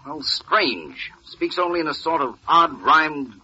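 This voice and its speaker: An actor playing a courteous, measured hospital doctor in a 1940s radio drama. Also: male voice, a little high, lively intonation, pushed and bright, slightly rough, heavily acted. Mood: courteous, concerned. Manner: polite, measured, formal. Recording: dull vintage radio recording.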